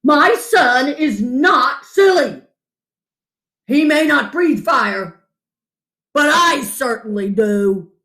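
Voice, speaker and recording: A woman voicing a proud, hot-tempered father dragon with an indignant, forceful delivery. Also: high voice, expressive, drawn-out words, long pauses, reverberant, voice pushed up, exaggerated voice.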